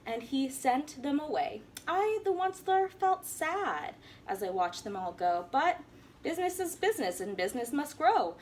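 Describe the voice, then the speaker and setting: A woman teacher reading a rhyming picture book aloud in an animated, sing-song way. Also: a little high, slight background noise. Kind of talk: storybook read-aloud.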